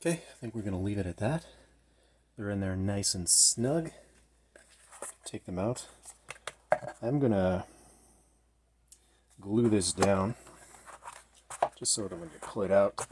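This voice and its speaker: Middle-aged male DIY maker, low voice, casual and tentative, thinking aloud over clear workshop noise. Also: a little slow, pauses often. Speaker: DIY maker demonstrating a build. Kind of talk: casual workshop narration while working. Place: small indoor home workshop. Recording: clearly noisy workshop recording with handling clicks.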